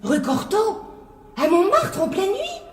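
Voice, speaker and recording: A woman's voice: extremely high pitch, sing-song, takes it slowly, a little hiss or hum, full character voice. An actress playing a timid, scatterbrained woman of twenty-two in a radio mystery drama, with an anxious, pleading, girlish manner. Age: young adult.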